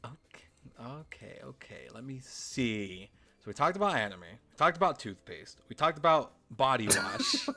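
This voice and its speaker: A man's voice: mid-range voice, big pitch swings, normal speed, sharp tone. A young man hosting a podcast, chatting casually with an enthusiastic, laid-back manner. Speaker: podcast host.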